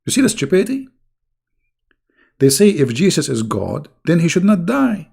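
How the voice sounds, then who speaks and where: Hoarse, taunting man with gravelly voice. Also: fairly high pitch, very animated, moderate pace, warm tone. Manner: taunting, insulting, rambling. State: hoarse, losing his voice. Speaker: online religious commentator. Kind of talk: mocking casual monologue.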